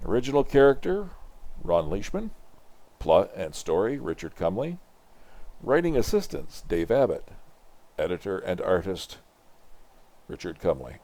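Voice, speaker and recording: Older man, low register, storyteller reading comic-book narration aloud. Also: sing-song, measured pace, pauses often, warm tone. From Canada. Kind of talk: read-aloud narration with introduction.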